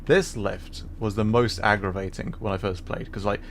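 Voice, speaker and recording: A man giving casual, halting live commentary as he streams a video game, musing with playful asides. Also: fairly low pitch, steady tone, vocal fry, slight background noise.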